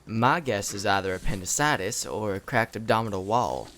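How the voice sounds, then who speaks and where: A man's voice: fairly low pitch, normal speed, fairly bright tone, slight background noise, natural voice. A man playing a concerned, firm doctor in an audio musical, serious and clinical. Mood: grave, concerned.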